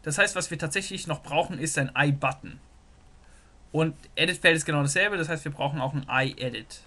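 A man walks through a software diagram as he draws it, thinking aloud with frequent filler words. His voice sits a little high and sounds bright and forward. He is recorded close on a desktop microphone. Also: fairly quick. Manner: thinks aloud while sketching, many "so" fillers. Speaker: programming tutor. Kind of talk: screen-recorded whiteboard lesson.

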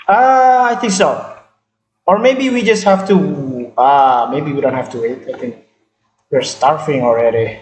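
A man's voice: on the high side, a little slow. Rambling gamer guy in an echoey room. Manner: rambling, filler-heavy, repeats words. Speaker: gaming channel host. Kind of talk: casual gameplay chat.

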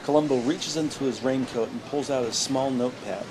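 A man's voice: medium pitch, a little fast. A man narrating an audio drama in scripted present-tense storytelling. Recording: vehicle and ambient noise behind.

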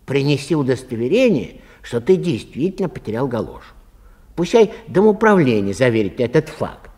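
A man's voice: mid-range voice, sing-song, normal speed, darkish tone, slightly rough. A man playing a polite but unbudging lost-property clerk in a comic story, bureaucratic and colloquial. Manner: bureaucratic, colloquial, matter-of-fact. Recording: long hall reverb.

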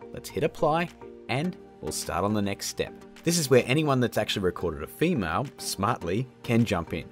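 A man in his thirties narrating a tutorial in a casual, informal way. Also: medium pitch. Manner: informal, addresses viewers as guys. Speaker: host of audio effect tutorials. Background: background music.

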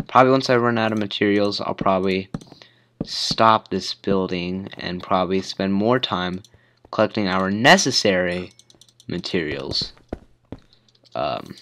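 A teenage boy with a hoarse voice from a cold, rambling casually with plenty of fillers while commenting on his building game. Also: sits low, pushed and bright. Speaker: gamer.

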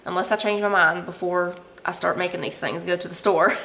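A woman's voice: fairly low pitch, steady tone, brisk, pushed and bright. A woman, chatting casually to camera in her living room, rambling and laughing as she goes. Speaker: family vlogger. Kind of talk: casual vlog chat.

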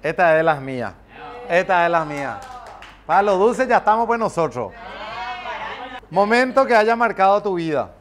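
A man, hosting a quick-fire studio interview with teasing banter, on a lapel mic in a dark studio. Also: high voice, animated, a crowd in the background. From Paraguay. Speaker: interview host. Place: dark studio set, seated.

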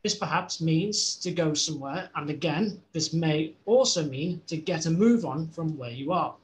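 A man's voice: a little high, lively intonation, moderate pace, rough recording. A man with a Scouse accent reading a dense seminar text aloud over a video call, with frequent restarts and self-corrections. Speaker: study group member reading aloud.

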